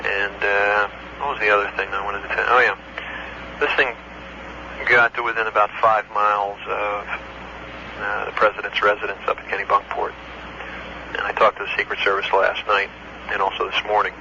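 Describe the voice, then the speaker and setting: A man, an air traffic control supervisor, recounting an incident in a matter-of-fact, halting way on an old taped phone call. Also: on the low side. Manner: matter-of-fact, halting recall with technical detail. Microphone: telephone.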